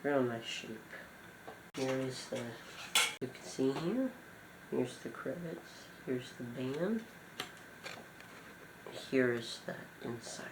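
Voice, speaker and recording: A man's voice: medium pitch, expressive, slow, pauses often. Casual man in an echoey, noisy room. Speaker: cassette collector presenting a collection. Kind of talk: casual show-and-tell commentary. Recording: echoey room with clear background noise.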